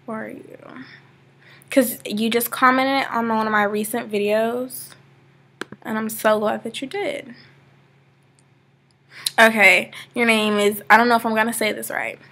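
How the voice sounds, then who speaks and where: Bright-voiced chatty young woman. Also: a little high, flat delivery, a little slow, pauses often. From Georgia, USA. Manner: chatty and rambling, many "like" fillers. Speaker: vlogger. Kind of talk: casual webcam vlog. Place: living room, seated on a sofa indoors. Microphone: laptop webcam, close framing.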